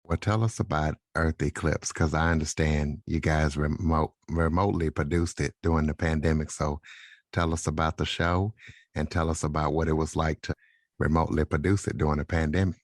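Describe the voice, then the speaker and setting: A man's voice: rumbling low, steady tone, normal speed, no room sound, clean studio sound. A man hosting an interview podcast, introducing guests and putting questions to them. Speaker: podcast host.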